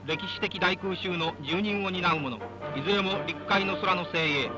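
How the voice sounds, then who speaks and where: A male newsreel narrator of the 1940s speaks in a rather high voice, formal and declamatory. The delivery is rousing wartime narration. The old soundtrack is dull and lo-fi, with prominent music playing behind him. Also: normal speed. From Japan. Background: loud background music.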